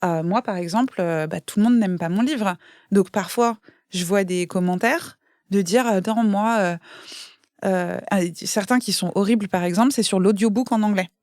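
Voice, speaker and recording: A woman's voice: mid-range voice, moderate pace, a little mellow, no echo. A woman from France talks as a podcast guest in a mid-range voice, thinking aloud with plenty of fillers and restarts. The recording is close and dry, with no room sound around her. She sounds like she is figuring out each idea as she goes. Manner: thoughtful, many fillers and restarts. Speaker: guest, author. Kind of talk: casual podcast conversation.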